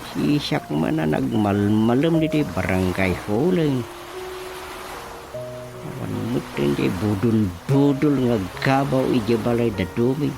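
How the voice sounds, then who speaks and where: A slow-talking old village chairman, pompous and easily flustered, mutters and exclaims in an Ilocano accent. It is radio comedy drama, with music clearly behind him. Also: mid-range voice. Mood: muttering, troubled. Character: self-important, flustered elderly village chairman.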